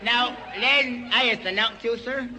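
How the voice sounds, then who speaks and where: A man's voice: very high voice, steady tone, normal speed, sharp tone. A man doing a live comedy stage act in dialect, teasing and mock-deferential.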